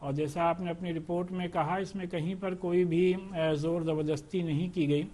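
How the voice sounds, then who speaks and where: Even-toned man, deliberate debate guest. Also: high-pitched. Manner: hesitant, deliberate, with English words mixed in. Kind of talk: measured debate answer.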